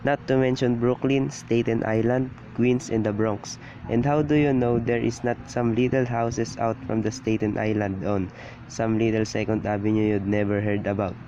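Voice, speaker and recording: A man's voice: mid-range voice, flat delivery, normal speed, warm tone, lo-fi sound, slight background noise, close to an everyday voice. A man playing a skeptical, patronizing police desk officer in a radio play, matter-of-fact and dismissive.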